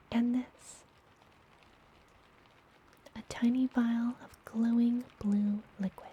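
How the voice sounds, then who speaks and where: A woman playing a friendly, mysterious, teasing witch healer in an ASMR fantasy roleplay, speaking gently and soothingly in a soft, breathy whisper. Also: on the high side, heavily acted, low-quality recording. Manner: slow, gentle, soothing, deliberate.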